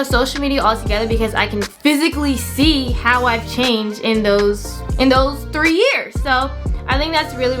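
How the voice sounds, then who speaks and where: High-voiced young woman chatting to viewers. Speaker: vlogger hosting own channel. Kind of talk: casual chat to camera.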